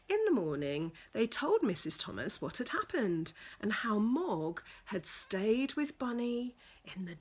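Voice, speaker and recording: A woman reading a children's picture book aloud as a storyteller, with deliberate, dramatic emphasis for young listeners. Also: mid-range voice, slightly rough.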